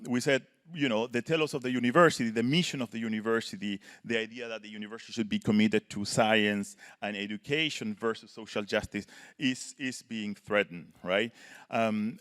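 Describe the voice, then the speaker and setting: A man from Chile, giving earnest panel remarks as a professor and often ending points with a quick "right?". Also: medium pitch, a bit bright, smooth. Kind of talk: panel talk, prepared remarks.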